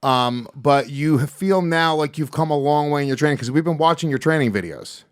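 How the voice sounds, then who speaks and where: A man hosting a podcast and introducing a fighter, talking in a quick, questioning way. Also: fairly high pitch, smooth, no room sound, clean studio sound. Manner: hype announcer, then quick questions. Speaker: podcast host. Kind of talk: casual podcast chat, hosting a fight promo.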